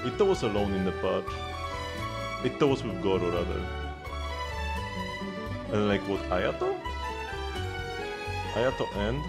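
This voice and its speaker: A man casually commentating on a game livestream, thinking aloud haltingly and repeating words as he goes. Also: fairly low pitch, animated, gravelly, over loud music. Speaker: live streamer.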